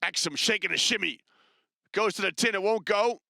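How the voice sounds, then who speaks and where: Guy doing basketball play-by-play, bright crisp voice, dead dry sound. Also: high-pitched, dry recording. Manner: brisk, chatty, rises with excitement on big plays. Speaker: play-by-play commentator. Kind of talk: live basketball commentary.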